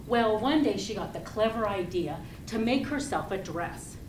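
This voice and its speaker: A woman giving a rehearsed storytelling speech to an audience, speaking deliberately and expressively with pauses. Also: medium pitch, animated. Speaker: club speaker giving a tall tale speech. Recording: roomy, distant mic with loud noise.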